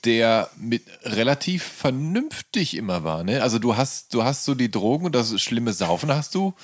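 A man's voice: mid-range voice, sing-song, moderate pace, dry recording. A man acting as interviewer, talking in a casual, conversational way.

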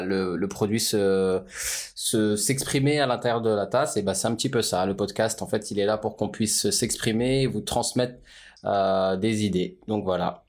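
A man co-hosting a podcast about his brand, explaining things in a calm way with frequent fillers. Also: lowish voice. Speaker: podcast co-host, brand founder. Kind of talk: casual conversational podcast.

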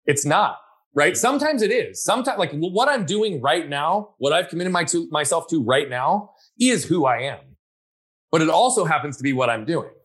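A man's voice: on the high side, expressive, normal speed, a bit bright, husky. A man in a conversational interview, speaking in an earnest, reflective way and often checking in with "right?". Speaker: guest.

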